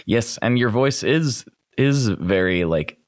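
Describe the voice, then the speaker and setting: A man's voice: mid-range voice, expressive, slow, no echo, studio quality. A man hosting a podcast, chatting in a friendly way with a radio announcer's voice. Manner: chatty, friendly, thinks aloud. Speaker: podcast host. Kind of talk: casual interview.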